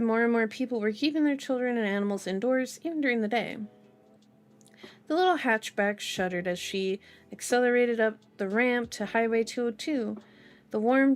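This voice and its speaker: A woman reading a novel aloud in an ASMR style with chatty, playful asides, as the host of her channel. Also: mid-range voice. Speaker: book reader, channel host. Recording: music behind the voice. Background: music.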